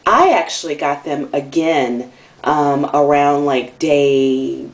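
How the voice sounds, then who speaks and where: A woman's voice: low voice, slow pace. A young woman talking casually to camera in a video diary, rambling and candid, with lots of ums and likes and a slightly nasal voice. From Atlanta area, US South. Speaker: vlogger.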